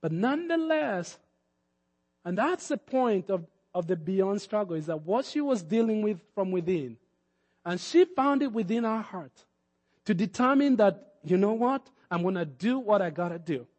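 A man from Kenya preaches in a high, ringing voice. His sermon climbs to forceful emphatic peaks, and he acts out the people in his stories. The sound carries a long hall reverb around him. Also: very animated, moderate pace. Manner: preaching with rising emphatic peaks and acted-out quotes. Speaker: pastor.

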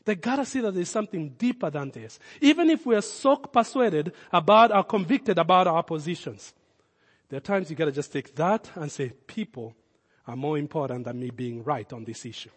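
A man with a Kenyan accent, a pastor giving a sermon, swinging from loud, emphatic calls to low, earnest asides. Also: lively intonation, normal speed, slightly rough, big-room sound. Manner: impassioned preaching, rising loud then dropping low and intimate.

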